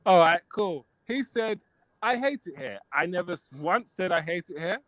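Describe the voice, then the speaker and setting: A man's voice: high voice, animated, normal speed, fairly bright tone, no echo. A man in a heated debate, combative and talking over others, repeating his points. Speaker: debate guest.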